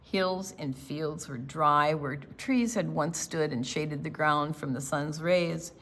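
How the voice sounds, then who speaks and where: A woman reading a picture book aloud to young children as a storytime reader, with deliberate, expressive delivery and casual asides about the pictures. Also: low voice, slight background noise.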